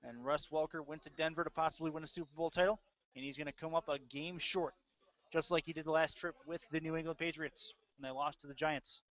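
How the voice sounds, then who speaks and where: Male sports announcer, slightly high voice, live football play-by-play, muffled lo-fi stream. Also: steady tone, quick pace, a bit bright. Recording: dull lo-fi web audio stream.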